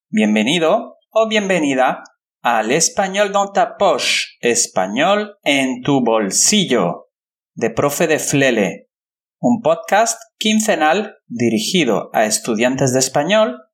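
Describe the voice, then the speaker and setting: Lively-pitched Spanish male podcast host. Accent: Spanish from Spain. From Andalusia, Spain. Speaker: podcast host and language teacher. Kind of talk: scripted podcast introduction and interviewing.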